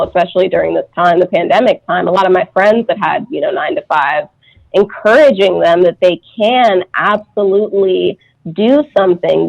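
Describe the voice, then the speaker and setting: A woman's voice: lowish voice, creaky, darkish tone. A woman as a guest on a podcast, chatting casually in a reflective way. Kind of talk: casual chat. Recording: dull, slightly lo-fi audio.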